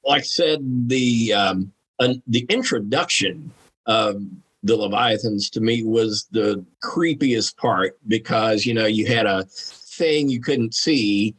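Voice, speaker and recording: A man's voice: fairly low pitch, takes it slowly, fairly bright tone, breathy edge, rough recording. A man talking casually and frankly as a podcast guest, with salty, informal phrasing. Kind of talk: casual conversational commentary.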